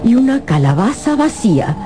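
A woman playing the solemn storyteller of a gothic radio drama, narrating in a deliberate, suspenseful way. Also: a little high, very animated. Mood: suspenseful narration. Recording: music under the narration. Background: music.

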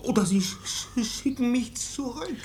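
An actress playing an anxious runaway seeking shelter in a radio drama, pleading haltingly with a heavy stammer. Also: female voice, fairly high pitch, darkish tone, a little hiss or hum, lightly acted. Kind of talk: German radio drama acting.

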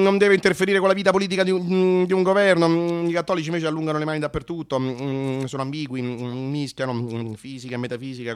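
An actor playing a crude, paranoid anticommunist strongman in a satirical radio monologue, halting and repeating himself as he mutters and erupts. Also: mid-range voice, clean tone, voice pushed down, natural voice. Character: crude paranoid right-wing Latin American anticommunist strongman, cynical, scornful.

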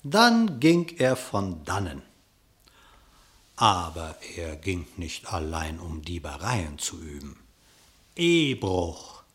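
A man narrating a classic radio play in verse, with theatrical, measured declamation. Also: on the low side.

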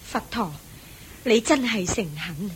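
A woman's voice: medium pitch, expressive, takes it slowly, slight background noise, low-quality recording. An actress playing a courteous, admiring woman of the household in a radio play. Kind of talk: Buddhist radio drama acting.

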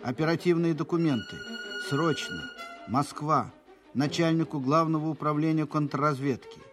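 Official document voice male actor, fairly high register, formal wartime radio-drama reading over music. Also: close to an everyday voice. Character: formal official voice of urgent military dispatches.

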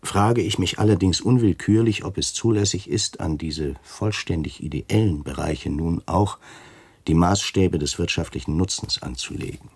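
A man's voice: deep voice, moderate pace, a little mellow, husky, no room sound. An actor playing a stiff, self-important, hesitant male camp commandant in a German radio play. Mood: solemn, pompous. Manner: solemn, pompous, hesitant.

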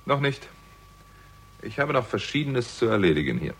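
An actor playing a sardonic, hot-tempered hard-boiled amateur sleuth in a 1960s German radio crime drama, with dry, biting delivery. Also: male voice, mid-range voice, sing-song, moderate pace, a bit bright, slightly rough. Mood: wry. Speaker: lead actor and first-person narrator.

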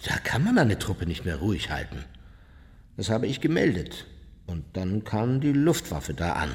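A man's voice: on the low side, big pitch swings, normal speed, darkish tone. A man playing an unrepentant Nazi war criminal in a radio play, recounting his deeds in a blunt, self-justifying way. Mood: hard, justifying. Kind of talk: German radio play, interview testimony. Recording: long reverberant hall.

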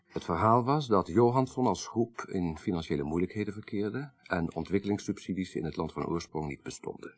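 An actor playing a grave, troubled son in a radio drama, speaking in a formal, measured, articulate way. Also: male voice, low voice. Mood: measured, serious. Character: grave, troubled son recounting his dead father's story.

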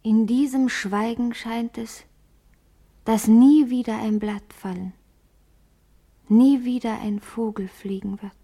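Husky, slow, wistful dreamer woman. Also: fairly high pitch, fairly even intonation, dark tone, full character voice. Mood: awed. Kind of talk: literary radio play monologue.

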